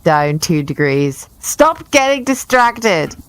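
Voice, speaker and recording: Woman playing a focused, low-voiced starship engineer. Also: big pitch swings, slow pace. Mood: focused, bossy. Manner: terse, instructing. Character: focused, bossy female starship engineer at work.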